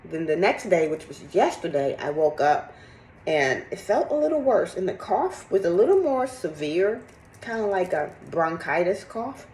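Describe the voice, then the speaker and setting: A middle-aged woman with a slightly raspy, congested voice, chatting candidly and casually in a rambling personal vlog. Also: lowish voice, sing-song, normal speed, darkish tone, echoey room, slight background noise, poor audio. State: sick with congested cough. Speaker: fitness vlogger. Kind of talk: casual chat to camera, personal update.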